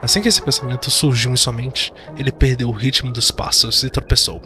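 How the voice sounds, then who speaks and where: A man's voice: medium pitch, fairly even intonation, brisk, very noisy. A man with a Brazilian accent giving dramatic scripted narration of a fantasy audio drama. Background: background music.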